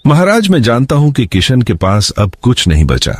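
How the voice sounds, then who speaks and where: A man's voice: medium pitch, huge pitch swings, moderate pace, dry recording, soft background music. A man voicing a crafty landlord in a cartoon story, wheedling and protesting his innocence. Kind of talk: Hindi cartoon moral-story voice acting.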